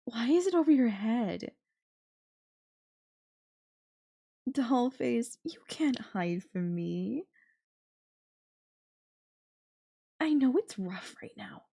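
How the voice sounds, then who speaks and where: Slow, sweetly menacing yandere girlfriend, acted by a woman. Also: on the high side, animated, gravelly, warm tone, breathy edge, full character voice. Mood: coaxing. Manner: coaxing, cooing, teasing with veiled threat. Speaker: voice actor. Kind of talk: girlfriend audio roleplay, ASMR-like.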